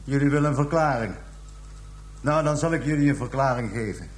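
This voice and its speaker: An actor playing a callous pimp making a halting, coarse confession to police in a radio drama. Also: male voice, mid-range voice, close to an everyday voice. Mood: defiant. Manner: halting, hesitant storytelling, coarse slang. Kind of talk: radio drama acting, interrogation scene.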